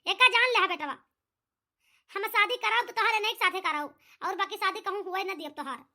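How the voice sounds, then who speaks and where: A woman's voice: moderate pace, exaggerated voice. A woman voicing a stubborn, scolding old mother-in-law in a cartoon, speaking with an Awadhi accent. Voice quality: very high, bright, shrill voice. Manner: scolding and insistent. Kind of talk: cartoon voice acting.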